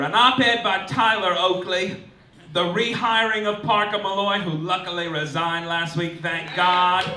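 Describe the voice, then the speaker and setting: High-voiced campy male comedian, roomy live stage. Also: sharp tone. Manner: arch, campy, theatrical delivery with incredulous rants. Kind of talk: satirical stage monologue read as mock official announcements. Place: live stage in a club before an audience. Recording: roomy, distant live recording with noise.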